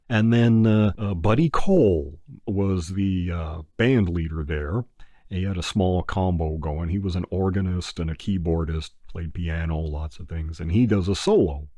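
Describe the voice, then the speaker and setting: An older man hosting a podcast, talking in a rambling, unhurried way with lots of "uh" fillers. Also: low-pitched, mellow, no echo. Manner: unhurried, many "uh" fillers, breaks into crooning an old song. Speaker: podcast host. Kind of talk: rambling casual chat.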